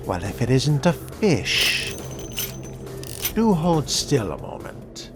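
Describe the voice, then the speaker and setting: A man role-playing a sardonic, predatory vampire in solo game commentary, with wry, theatrical delivery and formal turns of phrase, under loud music. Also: mid-range voice, huge pitch swings, takes it slowly. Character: sardonic, predatory fledgling vampire stalking prey. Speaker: gamer role-playing through a video game.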